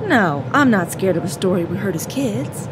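A woman's voice: mid-range voice, expressive, moderate pace, noisy background, lightly acted. A woman acting an exasperated, fearless, teasing partner in an audio drama, with a no-nonsense, mocking way of talking. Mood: teasing, dismissive.

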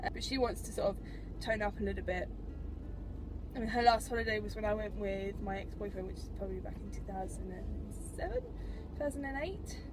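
A woman's voice: medium pitch, expressive, fairly quick, background noise. A young British woman vlogging casually from inside her car, rambling conversationally to a dashboard camera. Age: young adult. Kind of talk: casual chat to camera while driving. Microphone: camera mounted on the dashboard.

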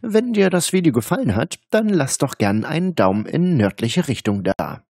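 A man's voice: a little high, big pitch swings, moderate pace, darkish tone, no room sound, clean studio sound. A man speaking as a channel host, delivering a scripted outro to his viewers.

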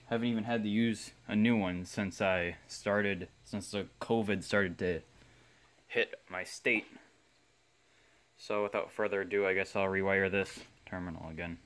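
A man's voice: deep voice, steady tone, a little slow, a bit bright. A man giving casual repair commentary as a hobbyist, rambling and self-deprecating as he thinks aloud. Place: indoor workshop bench.

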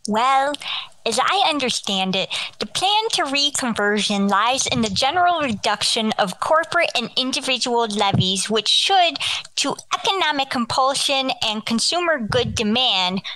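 This is a precocious young boy acted by a woman in an old-time radio comedy. He is literal-minded and speaks formally in a bright, very lively voice with a dry, close sound. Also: high-pitched, a little slow, no echo, heavily acted, rough recording. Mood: earnest, pedantic. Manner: pedantic, formal.

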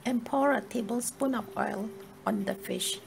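A woman reading a scripted cooking tutorial in a measured, step-by-step instructional manner. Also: a little high, gravelly, low-quality recording. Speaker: cooking video narrator. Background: music.

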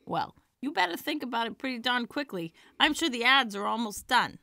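A woman plays a feisty old granny who does stand-up in an audio drama. Her voice is bright and fairly high, with wry, theatrical punchlines. The sound is very dry. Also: very animated, brisk, creaky, no room sound, heavily acted. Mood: earnest, encouraging. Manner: wry, theatrical comic delivery. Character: feisty elderly granny stand-up comedienne, warm-hearted and wisecracking.

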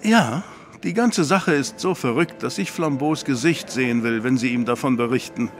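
A man's voice: mid-range voice, moderate pace, lightly acted. An actor playing a sceptical, flippant man in an audio drama, with a blunt, dry way of talking. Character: sceptical, flippant man hearing out a troubled woman.

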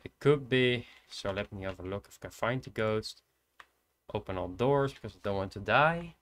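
A man's voice: on the low side, expressive, normal speed. Shy young Dutch man streaming a game. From Netherlands. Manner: soft-spoken, hesitant, thinking aloud. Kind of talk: casual gameplay commentary. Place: indoors at a computer.

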